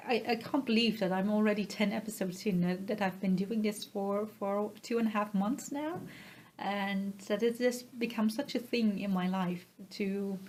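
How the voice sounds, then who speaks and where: A woman from the Netherlands, a native Dutch speaker with a Dutch accent, chatting casually to her podcast viewers in a halting, self-effacing way. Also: medium pitch, a little mellow. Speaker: podcast host. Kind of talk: casual vlog chat to viewers.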